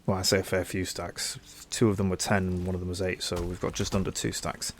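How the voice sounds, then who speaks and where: A young man with a slightly low voice and a stuffy, bunged-up nose from a cold. He chats easygoingly over his gameplay, thinking out loud, with a faint hum of ambience behind him. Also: level tone, brisk. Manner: chatty, easygoing, thinks aloud. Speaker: gaming channel host. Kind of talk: casual gameplay commentary.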